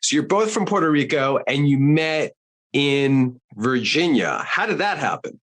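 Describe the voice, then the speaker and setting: A man's voice: a little high, expressive, a little slow, a bit bright. A man co-hosting a podcast who is also a career coach, asking friendly, curious questions while he has a cold. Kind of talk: casual interview questions.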